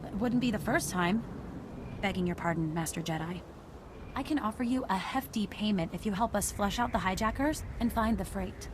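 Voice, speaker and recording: A woman playing a businesslike undercover intelligence agent in a video game, brisk and matter-of-fact. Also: mid-range voice, noisy background, close to an everyday voice. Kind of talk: scripted video game dialogue.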